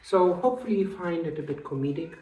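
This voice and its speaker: Calm man. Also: on the high side, breathy edge, low-quality recording. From Germany. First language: German. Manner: calm, steady, with frequent uh and um pauses. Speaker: channel co-host and narrator. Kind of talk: casual chat and tour narration.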